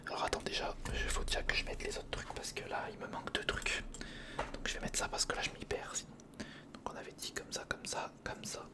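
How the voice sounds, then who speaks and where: A man giving whispered ASMR commentary on a hands-on build, murmuring to himself as he thinks and chatting informally to the viewer. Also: fairly quick. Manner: murmurs to himself while thinking through steps; informal, chummy address to the viewer. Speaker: ASMR video host.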